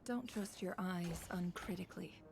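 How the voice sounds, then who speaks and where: A mysterious spirit voice, low-pitched and hushed, speaking in cryptic warnings. Game music plays clearly behind her. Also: normal speed.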